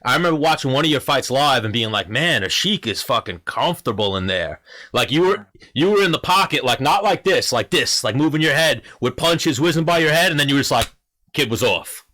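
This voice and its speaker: Host type, man, bright voice, rambles and fills, easy banter. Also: mid-range voice, animated, brisk. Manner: chatty, rambling questions, filler-heavy. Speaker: podcast host. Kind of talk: casual chat.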